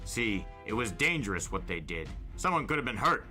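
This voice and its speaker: Audiobook cop, played by a man: suspicious, deliberate, authoritative, with a hard bright edge and faint music behind. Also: on the low side, big pitch swings, heavily acted. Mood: menacing. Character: suspicious adult male policeman, quietly menacing.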